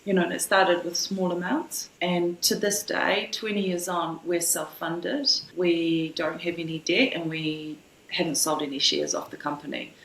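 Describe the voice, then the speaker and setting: A woman in her forties with a New Zealand accent talks on a remote call in a lowish voice. She is reflective and unhurried in thought, restarting sentences as ideas form. Her voice carries a noticeable echo from the room. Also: fairly even intonation. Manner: reflective, loose and searching, frequent restarts. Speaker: guest, brand founder. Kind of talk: casual conversational interview. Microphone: remote video call.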